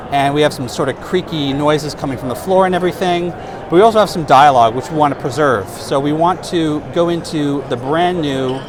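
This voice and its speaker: A man in his thirties, a product specialist, giving a relaxed, explanatory trade-show demo on a lapel mic. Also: a little high. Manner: relaxed, explanatory, casual fillers. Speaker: product specialist demonstrating software. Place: trade-show booth, indoors. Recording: noisy trade-show booth.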